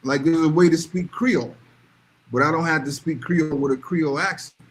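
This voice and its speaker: Blunt, higher-pitched man with background noise. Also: brisk. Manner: blunt, emphatic, repeats phrases for emphasis. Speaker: podcast panelist. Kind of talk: opinionated casual chat.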